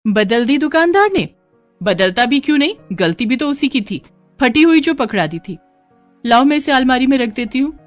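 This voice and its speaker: A brazen young Indian-family daughter-in-law from a cartoon moral story , acted out by a woman. She speaks high and sharp, defensive and boastful, over faint music. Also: big pitch swings, normal speed. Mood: smug.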